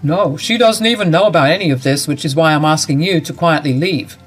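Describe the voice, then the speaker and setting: A woman voicing a grown son who coldly brushes his mother off, speaking in a flat, matter-of-fact way. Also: deep voice, a little fast, slightly rough, faint music behind, voice pushed down. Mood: dismissive.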